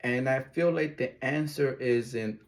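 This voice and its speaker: A man's voice: mid-range voice, measured pace. A man from Ecuador giving casual, emphatic reaction commentary, repeating his key words and using loose street slang. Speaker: video reactor.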